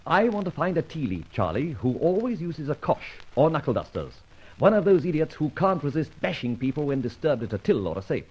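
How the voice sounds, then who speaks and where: An actor playing a suave, self-assured gentleman detective with a dry, teasing manner in an old radio drama. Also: a little high, very animated, fairly quick, husky. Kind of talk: 1940s radio acting. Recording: muffled old radio recording.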